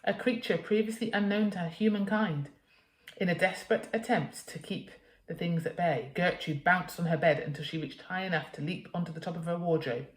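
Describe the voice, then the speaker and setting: A woman narrates a children's storybook in a fairly low voice. She reads dramatically, leaning on key words for young listeners. The room around her is noticeably echoey. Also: fairly even intonation, brisk. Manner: dramatic, emphatic reading for children. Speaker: teacher reading a children's story aloud.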